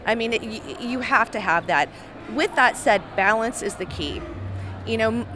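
A woman's voice: medium pitch, background noise. Confident woman fitness coach explaining. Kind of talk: interview answers. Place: large indoor expo hall, in front of a backdrop. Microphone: handheld microphone held by host.

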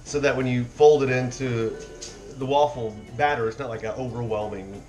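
A man's voice: mid-range voice, fairly even intonation, normal speed, a little mellow, soft background music, rough recording. A man in his forties hosting a playful home cooking segment in his kitchen, chatty with joking asides, filmed by a counter camera. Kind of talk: upbeat home cooking demo. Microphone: wide camera on the counter.

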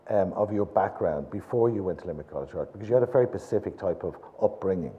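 An older Irish man interviewing an artist on stage, explaining with animated gestures. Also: sits low, quick pace, narrow, tinny audio. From Ireland. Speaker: interviewer, gallery director. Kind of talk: public conversation on stage.

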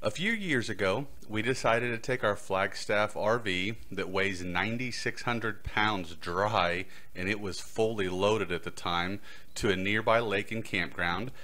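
A middle-aged man speaks as a podcast host, with a somewhat low voice. He reads a prepared personal account into a close boom-arm mic in a small indoor studio. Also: unhurried, bright, forceful tone. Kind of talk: reading a prepared personal story. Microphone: close boom-arm microphone.

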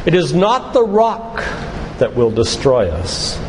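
An older man giving a sermon-like lecture in a church, speaking in an earnest, deliberate, emphatic way with repetition. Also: a little high, huge pitch swings. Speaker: doctor and guest church speaker. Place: church, live talk. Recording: echoey hall with loud background noise.

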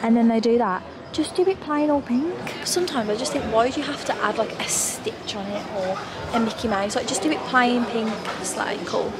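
A woman, chatting excitedly in a casual shopping vlog, filmed on a handheld phone inside big stores. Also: a little high, fairly quick, heavy background noise. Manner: gushing, upbeat, lots of "like". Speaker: vlogger. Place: large indoor shopping stores. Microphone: handheld phone at arm's length. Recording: loud store ambience behind voice.

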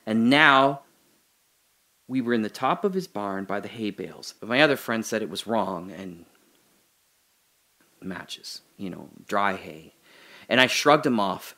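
A man's voice: fairly low pitch, bright, forceful tone. An actor playing a man alone in a holding cell who talks through his doubts about his memories in an audio drama monologue, musing and questioning, turning from wry to earnest. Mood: recalling.

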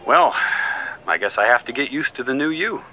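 An actor playing an ingratiating ex-boyfriend in an audio drama, smooth and eager to please. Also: male voice, mid-range voice, lively intonation, normal speed, pushed and bright, poor audio. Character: ingratiating ex-boyfriend, adult man.

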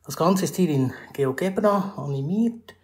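A male math teacher with a Swiss German accent explains a math problem step by step over a screen recording, with many pauses and restarts. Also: on the high side, lively intonation. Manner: formal address to viewers, rising emphasis on new steps.

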